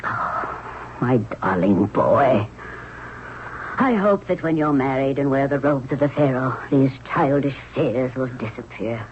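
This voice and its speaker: An actress playing a stern, middle-aged queen mother of ancient Egypt in a 1970s radio drama, with an imperious, measured, formal delivery. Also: very deep voice, lively intonation, full character voice. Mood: consoling. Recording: muffled, noisy old radio recording.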